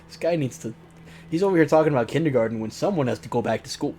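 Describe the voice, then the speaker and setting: A man's voice: mid-range voice, expressive, racing, warm tone. Theatrical man reading a game aloud, noisy recording. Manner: theatrical reading broken by exclamations and mocking asides. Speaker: host reading a visual novel aloud. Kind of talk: narrated game let's play with joking asides.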